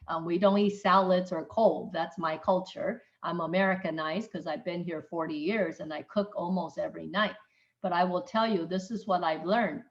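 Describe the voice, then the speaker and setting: Middle-aged woman lecturing, dull audio. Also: fairly low pitch. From Taiwan. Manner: emphatic, checks in with listeners. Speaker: doctor giving a webinar talk. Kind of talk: informal lecture.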